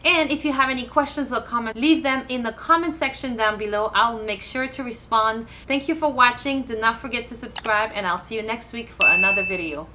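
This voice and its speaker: A woman's voice: a little high, fairly quick, hardly any pauses, noisy background. A woman from Morocco with a Moroccan accent, speaking directly and upbeat to viewers as a travel vlogger. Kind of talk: vlog talk to camera.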